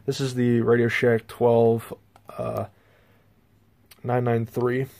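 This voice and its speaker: American man, gravelly, even pitch, halting casual delivery, small bedroom, phone camera. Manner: halting, rambling, informal. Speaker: host, hobbyist collector. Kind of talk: casual handheld video tour. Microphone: handheld phone, speaker behind the camera.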